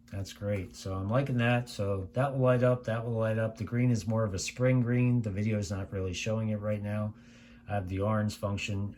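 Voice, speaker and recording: Low-voiced American man reviewing gear. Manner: conversational, rambling, repeats himself. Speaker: radio reviewer. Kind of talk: casual unscripted product review. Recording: clear steady background noise.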